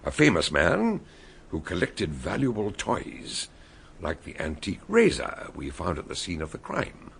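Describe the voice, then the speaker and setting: An actor playing a shrewd male detective who calmly lays out how the crime was solved in a radio drama. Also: sits low, gravelly, a little hiss or hum, exaggerated voice. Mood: explaining. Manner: explaining, measured.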